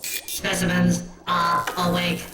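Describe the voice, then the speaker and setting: Echoey, monotone clockwork robot. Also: medium pitch, slow. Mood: cold, commanding. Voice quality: creepy electric, metallic voice. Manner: clipped, mechanical commands. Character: cold, emotionless little copper clockwork robot soldiers. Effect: robotic electronic filter. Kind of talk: full-cast audio drama acting. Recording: echoey, distant, under loud music.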